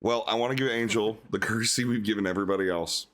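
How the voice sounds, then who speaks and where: A low-voiced man hosts a casual streamed dating show. He talks in loose, rambling bursts full of fillers. A faint noise sits under the recording. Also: fairly even intonation, fast talker, a bit bright.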